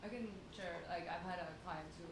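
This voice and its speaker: A woman at a business dinner sharing a lively, chatty story about a client. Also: fairly low pitch, fairly quick. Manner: lively, chatty, acts out exchanges. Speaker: marketing consultant in the audience. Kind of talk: casual storytelling. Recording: echoey room with background noise.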